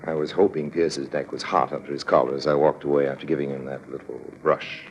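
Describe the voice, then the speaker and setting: An actor playing a suave, sly con artist in a 1950s radio drama, smug and smoothly casual. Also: male voice, extremely low pitch, steady tone, brisk, muffled recording. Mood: smug, confiding. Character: suave, sly male con artist narrating his swindle.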